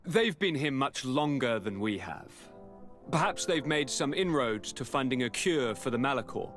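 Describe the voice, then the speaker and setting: An actor playing a courteous, theatrical young noble governor in a video game, with flowery, aristocratic manners. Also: male voice, medium pitch, expressive, moderate pace, a bit bright, faint music behind, close to an everyday voice. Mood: courteous, breezy.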